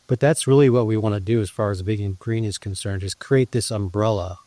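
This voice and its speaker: A man's voice: on the low side, mellow, no room sound. A man interviewed as a guest about growing food and his ministry, speaking earnestly with frequent restarts. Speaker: guest, urban farmer and ministry leader. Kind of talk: conversational interview.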